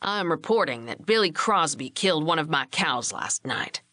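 A woman's voice: low voice, animated, normal speed, sharp tone, no room sound, studio quality, pitched below the performer's own voice. A woman voicing an older male ranch patriarch in a storybook, scheming and firm with easy authority. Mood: assured, scheming.

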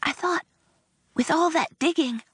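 A woman's voice: sits high, measured pace, no echo, clean studio sound, voice pushed up. Woman putting on a timid whispering servant girl. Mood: hushed, nervous. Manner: whispery, hushed. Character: timid young servant girl, kind, nervous.

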